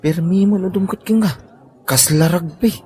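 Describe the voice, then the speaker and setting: A man's voice: high-pitched, moderate pace, a little mellow, a little hiss or hum, lightly acted. A man with an Ilocano accent playing a nosy, mocking buddy in a comedy drama.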